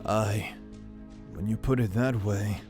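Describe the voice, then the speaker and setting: An actor playing an earnest, apologetic military general with formal wording, in a dramatic comic dub. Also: male voice, on the low side, fairly even intonation, takes it slowly, music in the background. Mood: regretful.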